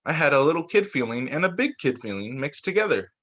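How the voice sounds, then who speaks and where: Man, teacher, storybook read-aloud, medium pitch, animated intonation, expressive delivery. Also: fast talker. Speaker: teacher reading picture books aloud to young children.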